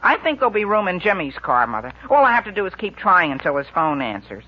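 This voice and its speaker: A man's voice: high voice, very animated, fast talker, husky, exaggerated voice. An actor playing an earnest teenage boy, politely persistent and wheedling, in a 1940s radio comedy. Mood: pleading. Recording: dull 1940s radio broadcast.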